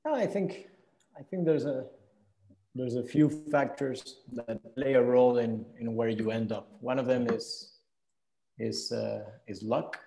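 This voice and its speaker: Male podcast guest, thirties, from Venezuela; relaxed gravelly voice, thoughtful conversational answers. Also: mid-range voice, a little slow. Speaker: interview guest, entrepreneur. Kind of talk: reflective conversational interview answers. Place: indoor room, video call.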